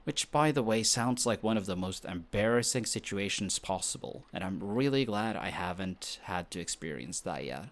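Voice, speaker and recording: A man's voice: on the low side, animated. A man playing a flustered, defensive young best friend who is secretly smitten, in an intimate romantic audio roleplay. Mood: exasperated, defensive. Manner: defensive, bickering, halting when shy.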